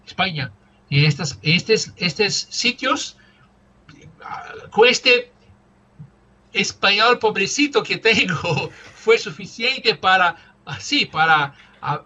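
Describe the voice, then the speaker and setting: Portuguese-speaking guy, slow and hesitant, lots of ums, bright voice. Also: high voice, lively intonation, lo-fi sound. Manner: halting, searching for words, many fillers. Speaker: language student. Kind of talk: casual conversation practice.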